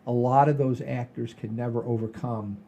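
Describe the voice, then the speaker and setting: An older man hosting a podcast, telling stories in a folksy, rambling, animated way with a husky voice. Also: medium pitch, moderate pace. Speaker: podcast host. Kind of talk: casual chat and storytelling.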